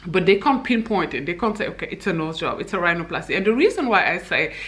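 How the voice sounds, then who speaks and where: A young woman from Senegal talking candidly to camera in a personal vlog, chatty, with frequent fillers and light laughs, recorded indoors in a bright living room. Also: fairly low pitch, very animated, quick pace. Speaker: vlogger.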